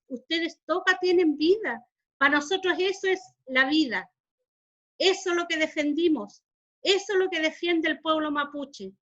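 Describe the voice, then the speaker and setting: A woman's voice: high voice, a bit bright, dull audio. A Chilean woman from southern Chile, a Mapuche community speaker, addressing a class over a video call in an earnest, emotional way, often repeating her phrases. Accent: Chilean Spanish. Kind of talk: heartfelt talk to a class.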